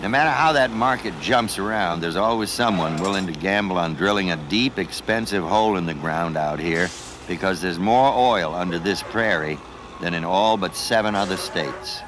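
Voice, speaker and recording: A man's voice: low voice, moderate pace, background noise. A man reading scripted narration as the narrator of a documentary.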